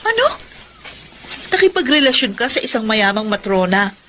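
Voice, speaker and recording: Proud, wounded young Filipino career woman, higher-pitched voice, emotional radio drama delivery. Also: sing-song, normal speed, fairly bright tone, slight background noise. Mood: hurt, indignant.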